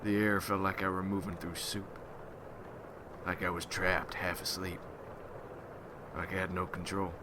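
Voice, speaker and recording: Haunted rural war veteran turned monster hunter, played by a man: deep, even delivery, slow somber ASMR storytelling. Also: background noise. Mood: grave. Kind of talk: ASMR roleplay monologue.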